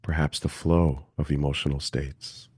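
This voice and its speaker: Very deep, soft husky man guiding meditation. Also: no room sound, clean studio sound. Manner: gentle, calm, unhurried with long pauses. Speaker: meditation teacher. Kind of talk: guided meditation.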